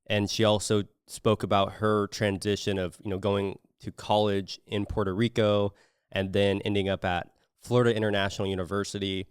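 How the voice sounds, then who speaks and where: Laid-back low-voiced male podcast host. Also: normal speed, smooth, no room sound, studio quality. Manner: relaxed, meandering with many fillers. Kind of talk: casual podcast interview.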